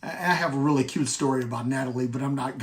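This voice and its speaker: A middle-aged American man with a slightly raspy voice, hosting an online ministry livestream in a casual, folksy way and addressing viewers directly. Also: mid-range voice, fast talker. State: raspy voice today. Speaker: online ministry host and teacher. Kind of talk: casual livestream talk with shout-outs.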